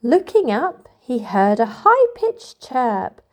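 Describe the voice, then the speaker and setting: A woman reads a picture book aloud for small children. Her voice is fairly high and moves at a slow, measured pace. The delivery is expressive and sing-song, with big swings in pitch. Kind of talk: read-aloud storytelling for toddlers.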